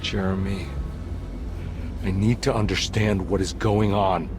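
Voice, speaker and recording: An earnest investigator in a horror game. He has a fairly low voice and questions insistently and urgently, with loud music underneath. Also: fairly even intonation, unhurried. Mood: urgent, hushed. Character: earnest, insistent male investigator seeking a missing man. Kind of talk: video game cutscene acting.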